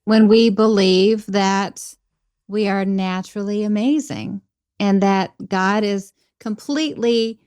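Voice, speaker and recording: A woman's voice: medium pitch, fairly even intonation, takes it slowly, a little mellow, no echo, clean studio sound. A middle-aged woman speaking as a podcast guest, talking in an earnest, reflective way about faith and leadership. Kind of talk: conversational interview.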